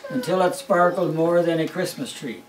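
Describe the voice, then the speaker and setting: A man's voice: fairly high pitch, normal speed, noisy background. An older man, a grandfather, reads a Christmas story aloud at a family home in a wry, amused storytelling manner. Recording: roomy, distant home recording.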